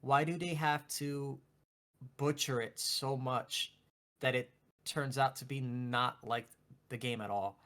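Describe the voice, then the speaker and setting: A man chatting casually about games and movies as a podcast co-host, in an excitable, emphatic, slangy way. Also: medium pitch, takes it slowly, clear, resonant tone. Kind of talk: casual video game and movie chat.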